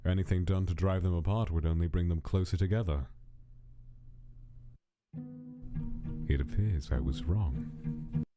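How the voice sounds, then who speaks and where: Man acting a very deep-voiced, clinical scientist. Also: close to an everyday voice. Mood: brooding, grave. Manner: measured, formal, detached. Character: cold, clinical male scientist narrating his experiment log. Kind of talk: scripted dramatic monologue in a comic dub. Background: music behind the voice.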